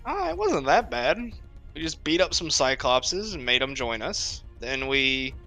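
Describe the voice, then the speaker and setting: A man's voice: medium pitch, very animated, measured pace, bright, forceful tone, soft background music, heavily acted. A man playing a breezy, nonchalant pirate captain with an offhand, rambling manner in a tabletop game. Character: breezy, casual pirate captain who shrugs off danger.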